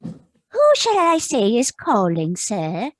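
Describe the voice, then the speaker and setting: A woman's voice: on the high side, huge pitch swings, slow pace, no room sound, clean studio sound, full character voice. A woman playing a formal, deferential housekeeper in an old-time radio mystery. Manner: formal, dutiful. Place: remote video call performance.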